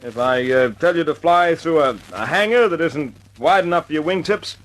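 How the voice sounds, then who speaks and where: An actor playing a brisk, commanding wartime air force squadron leader in an old-time radio drama, speaking in a clipped, authoritative way. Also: male voice, on the high side, expressive, close to an everyday voice. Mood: firm, commanding. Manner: clipped, authoritative, informal with his men. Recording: noisy old radio recording.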